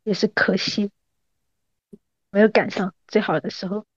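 A woman's voice: medium pitch, fairly even intonation, moderate pace, no room sound, muffled recording. A woman in her thirties in a casual, teasing chat between old friends. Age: 30s. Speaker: podcast guest.

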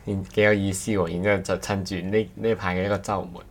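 A man's voice: sits low, flat delivery, quick pace, a little hiss or hum. A man co-hosts a casual podcast, talking hesitantly and restarting his phrases. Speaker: podcast co-host.

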